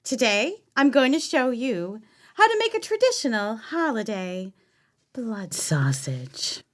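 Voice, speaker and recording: A woman acting a sassy date, slow and expressive. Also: on the high side. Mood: dazed, showy. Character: sassy, sultry woman on a date, blunt and feisty. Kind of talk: stage radio play acting.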